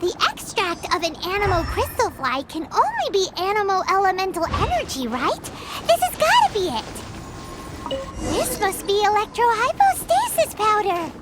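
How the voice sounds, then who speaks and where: A woman voicing a tiny, childlike female fairy companion in a fantasy game, perky, excitable and cheeky. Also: very high-pitched, moderate pace, heavily acted. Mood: eager, grumbling. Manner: perky, childish, refers to self in third person. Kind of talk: scripted fantasy game dialogue. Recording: loud game music behind the voice.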